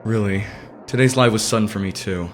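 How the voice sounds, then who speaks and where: Low-voiced, serious young idol played by a man. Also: brisk, background noise, lightly acted. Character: serious, proud, hot-headed teenage boy idol, easily slighted. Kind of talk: anime fan dub acting. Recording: loud music under the voice.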